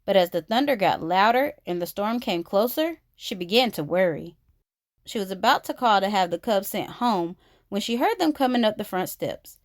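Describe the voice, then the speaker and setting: A woman reading a children's story aloud with expressive, dramatic emphasis. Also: mid-range voice, no echo, clean studio sound. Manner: animated, dramatic emphasis for young listeners.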